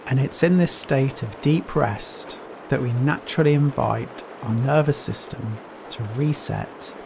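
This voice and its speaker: A man leading a guided meditation in a gentle, soothing manner, leaving long pauses between phrases. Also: medium pitch, vocal fry. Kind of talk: guided Yoga Nidra meditation. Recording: steady rain noise behind voice. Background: rain sounds.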